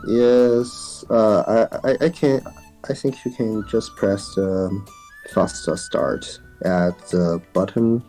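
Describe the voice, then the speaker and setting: Chinese-accented male guest, unhurried and mellow, hesitant short replies, casual game explanation. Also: fairly low pitch, fairly even intonation, soft background music. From China. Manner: hesitant, brief answers. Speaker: guest co-player.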